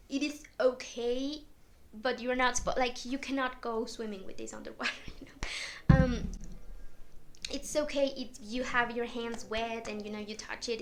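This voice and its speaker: Young woman book vlogger, English not her first language, higher voice, loose chatty delivery full of fillers. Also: a bit bright, a little hiss or hum. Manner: chatty, many fillers and little laughs. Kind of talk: casual chat.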